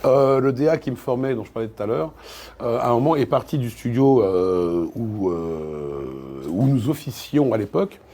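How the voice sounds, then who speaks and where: A man in a casual interview as a voice actor and dubbing director, telling anecdotes haltingly and repeating words as he goes. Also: medium pitch, animated, dark tone. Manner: hesitant, repeats words, acts out quoted exchanges.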